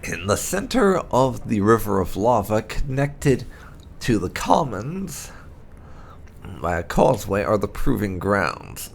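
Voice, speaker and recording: A man with a low voice narrates fantasy lore like a storybook, his pitch moving in lively swings. He reads deliberately and leans into dramatic emphasis, with a gravelly fry in his tone. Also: a little hiss or hum. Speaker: host of a game playthrough channel reading lore entries aloud. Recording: music behind the voice.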